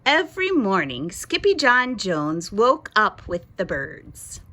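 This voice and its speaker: A woman reading a children's bedtime story aloud in an expressive storytelling style, with music behind her. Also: mid-range voice, takes it slowly. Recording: music under the voice.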